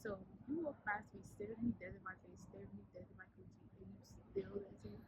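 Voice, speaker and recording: A woman, chatting bluntly and animatedly in a casual vlog, a little raspy from sinus trouble, filmed outdoors on a city street by a camera on a tripod. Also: mid-range voice, a little fast. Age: 20s. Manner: blunt, animated, swears freely. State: sinus congestion, slightly raspy. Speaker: vlogger. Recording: dull street recording with city noise.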